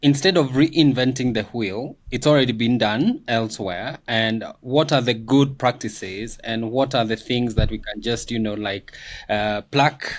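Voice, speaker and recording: A man speaking in a measured, thoughtful way in a conversation, often filling pauses with "you know" and "um". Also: medium pitch. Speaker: guest commentator. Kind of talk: reflective conversation.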